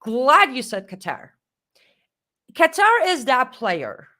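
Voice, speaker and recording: A woman with a Middle Eastern accent co-hosting a live stream, talking in a lively, emphatic way. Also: sits high, slow. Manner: animated, talkative, emphatic. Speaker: co-host. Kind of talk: casual live-stream commentary.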